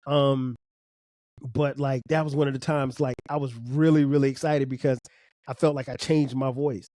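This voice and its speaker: A man's voice: medium pitch, steady tone, moderate pace, dark tone, dry recording, clean studio sound. A man from South Carolina with a South Carolina accent and a slightly nasal voice, rambling casually through a solo podcast with lots of fillers and restarts. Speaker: podcast host.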